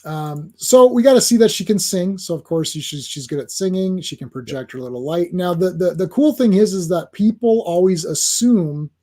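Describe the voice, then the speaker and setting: High-voiced chatty man hosting a stream. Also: animated, moderate pace, few pauses, darkish tone. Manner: chatty, greets chat viewers by name. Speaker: host of a comics livestream. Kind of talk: casual livestream comic review.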